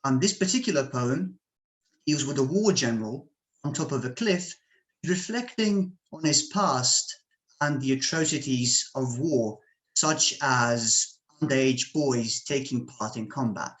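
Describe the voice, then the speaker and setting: A man from Bosnia whose first language is Serbian, reading his poetry slowly and deliberately at an online open mic over a home computer microphone. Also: mid-range voice, animated, fairly bright tone, poor audio. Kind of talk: slow measured poetry reading.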